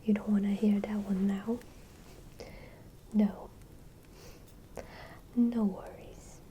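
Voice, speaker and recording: A woman playing a tender, doting village friend in a fantasy ASMR roleplay, whispering softly in a caring, hesitant, soothing way. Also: medium pitch, flat delivery, slow, a little hiss or hum, natural voice. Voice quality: soft, breathy whispering. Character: tender, doting female Shire friend welcoming a hero home.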